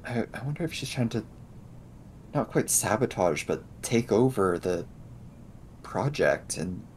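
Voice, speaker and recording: A man with a lowish voice plays a tabletop roleplaying game. He talks things through slowly and hesitantly, feeling his way through each explanation. There is audible noise under his voice. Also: darkish tone. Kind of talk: improvised in-character tabletop game conversation.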